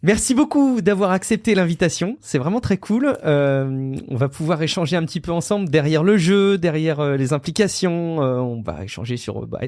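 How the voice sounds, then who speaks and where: A man's voice: a little high, lively intonation, normal speed, hardly any pauses, a little mellow, no echo, clean studio sound. A man from France hosting a tech podcast interview, chatty and hesitant, with lots of fillers. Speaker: podcast host.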